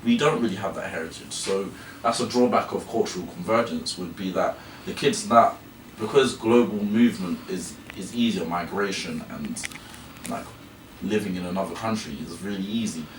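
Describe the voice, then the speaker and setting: Young man, very low voice, student presentation, hesitant with restarts, far from the mic in an echoey room. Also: normal speed. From England. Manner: hesitant, restarting phrases often. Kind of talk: school presentation. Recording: echoey room, from a distance, noisy.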